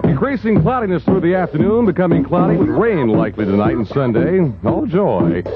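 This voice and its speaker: Lively wisecracking 1970s radio DJ man. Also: a little high, fairly quick. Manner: wisecracking, jokey asides. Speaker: overnight radio DJ. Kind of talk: 1970s top-40 radio disc jockey patter. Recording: dull 1970s radio aircheck over loud music. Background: pop records and jingles.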